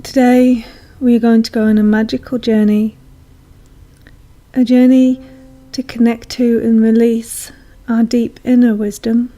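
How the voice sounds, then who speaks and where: A woman leads a guided meditation in a soothing, hushed manner, leaving long pauses. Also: on the high side. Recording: soft music behind voice. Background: calm meditation music.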